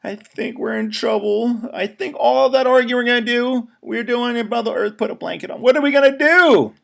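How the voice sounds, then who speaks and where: A man voicing a crowd of frightened forest animals, their cries high and anxious, in a storybook tale. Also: moderate pace, creaky, a bit bright, full character voice. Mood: panicky.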